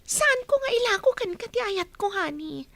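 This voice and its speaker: A woman's voice: very high voice, lively intonation, normal speed, gravelly, bright, forceful tone, dry recording, heavily acted. A woman with an Ilocano accent playing a clinging, lovesick mistress in a radio drama, pleading emotionally. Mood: hurt pleading.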